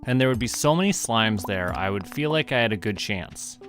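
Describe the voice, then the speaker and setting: A man's voice: lowish voice, expressive, brisk, over music. A man giving casual video game livestream commentary, musing aloud in short remarks. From Canada. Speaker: streamer.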